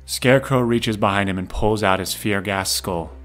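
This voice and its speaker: A man's voice: on the low side, moderate pace, fairly bright tone. A man narrating a scripted audio drama, describing the action as the narrator. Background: music.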